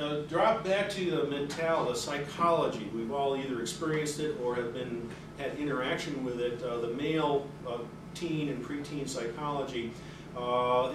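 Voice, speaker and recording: A man's voice: medium pitch, moderate pace. A middle-aged man giving a public lecture, talking conversationally with many fillers and restarts. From native English speaker. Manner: conversational, many fillers and restarts, reads quotes aloud with rising energy. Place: carpeted seminar room with audience. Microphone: room mic, away from the speaker at a lectern. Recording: very echoey room from far off, with noise.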